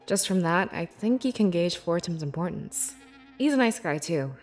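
A woman playing a young, devoted beast-folk scribe in a fantasy video game, with earnest, measured and expressive delivery. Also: lowish voice, faint music behind, natural voice. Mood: explanatory, friendly. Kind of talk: scripted video game character dialogue.

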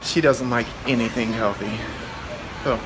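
A man's voice: mid-range voice, a little slow, heavy background noise. A man in his thirties vlogging casually to a phone camera as he makes a shake in a beach condo kitchen. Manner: upbeat, talks to camera while cooking. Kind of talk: casual vlog chat. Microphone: phone camera at arm's length.

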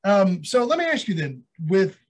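A man's voice: high-pitched, big pitch swings, normal speed, a little mellow. A man hosting a radio interview, enthusiastic and chatty, often restarting his sentences. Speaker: radio host.

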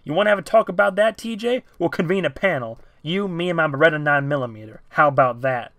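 A man voicing a gruff, confrontational man who threatens another with a gun. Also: on the high side, quick pace, fairly bright tone, dry recording, pitched above the performer's own voice. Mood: taunting.

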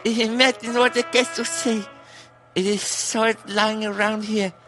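An actor playing a nervous, apologetic rodent motel receptionist in a cartoon noir game, stammering and hesitant. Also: male voice, high-pitched, little pitch movement, unhurried, fairly bright tone, soft background music. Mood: embarrassed, defensive.

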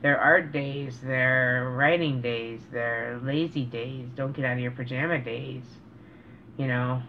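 A middle-aged man talking casually and reflectively to camera as a vlogger, earnest and halting, pausing mid-sentence, in a small room indoors. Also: mid-range voice, steady tone. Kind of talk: casual, reflective vlog talk. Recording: dull, noisy home recording.

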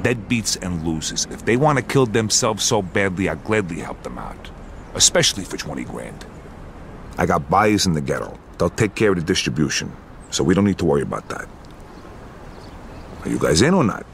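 An actor playing a confident, businesslike young mobster with an American accent, assured and blunt in a video game scene. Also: male voice, sits low, big pitch swings, normal speed, gravelly, a little hiss or hum, exaggerated voice. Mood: blunt, firm. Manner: assured, matter-of-fact, blunt. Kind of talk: video game cutscene acting.

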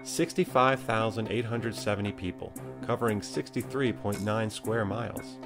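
Low creaky American man narrating a documentary. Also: fairly even intonation, normal speed. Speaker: narrator and nature photographer. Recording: music behind. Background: music.

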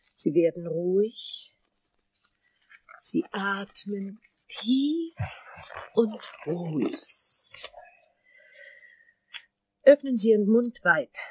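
Mellow, breathy dentist. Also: female voice, medium pitch, expressive, very slow, long pauses, no room sound, full character voice. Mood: soothing. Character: composed, seductive female dentist fascinated by teeth. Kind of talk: radio play acting.

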